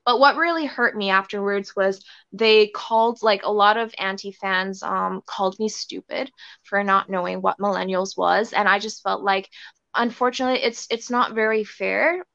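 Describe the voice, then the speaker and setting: Young woman, Thai native, grew up in Canada, interview guest, bright sharp voice, dull dry lo-fi recording. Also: mid-range voice, fairly even intonation, normal speed, vocal fry, no echo. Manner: rambling, filler-heavy with many restarts. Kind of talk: casual interview storytelling.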